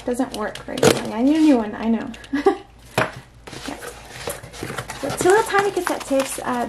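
High-pitched, giggly woman vlogger. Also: noisy background. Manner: giggly, exclaims often, talks to herself. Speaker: unboxing vlogger. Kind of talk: casual unboxing chat. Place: indoors at a tabletop.

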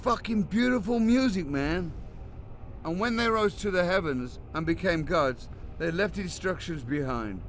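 An actor playing a cagey guardian of metal secrets in a video game, rambling theatrically. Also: male voice, sits high, expressive, measured pace, soft background music. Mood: cryptic, musing. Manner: rambling, theatrical, swearing freely. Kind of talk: video game cutscene acting.

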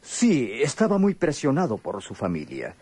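A man's voice: mid-range voice, huge pitch swings, moderate pace, a little mellow. An actor playing a pompous, courteous, elegant lawyer in his fifties, pedantic and ornate, in a radionovela. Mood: delicate explaining. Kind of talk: radionovela acting.

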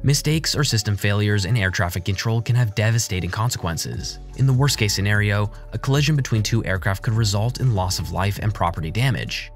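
Low-voiced male documentary narrator over music. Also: animated, brisk, hardly any pauses. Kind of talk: scripted explainer narration. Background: background music.

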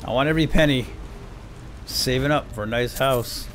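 A man's voice: medium pitch, normal speed, a bit bright, slight background noise. A man giving playful, lightly role-played video game commentary, talking to himself and his in-game companions. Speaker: let's player. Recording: game sound effects and ambience behind. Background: video game cave ambience and combat.

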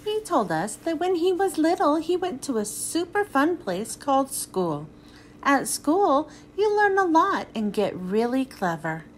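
High, lively woman reading a picture book. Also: unhurried, a little hiss or hum. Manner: expressive storytelling read-aloud. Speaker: children's book reader.

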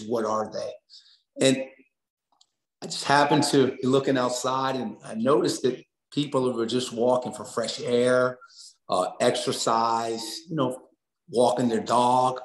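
A middle-aged American man, a coach giving earnest, encouraging answers in a conversational interview over a video call. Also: medium pitch. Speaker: interview guest, assistant basketball coach. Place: small indoor room on a video call. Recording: roomy, echoing room sound.

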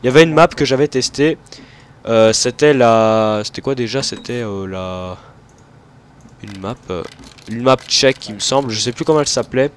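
A young man talks loosely over a game, his nose blocked from hay fever. He rambles and corrects himself often, in an even middle pitch. Faint game sounds hum behind his desk microphone. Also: moderate pace. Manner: chatty, rambling, many fillers and self-corrections. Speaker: gaming video host. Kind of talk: casual gameplay commentary.

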